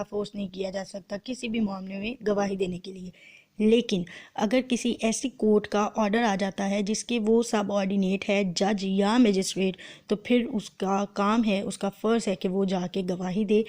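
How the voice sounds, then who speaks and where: A woman law lecturer with a Pakistani accent speaks at a middle pitch, her throat sore. She explains methodically, as if to students preparing for a test, and slips English terms into her teaching. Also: a little mellow. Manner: explanatory, translating phrase by phrase.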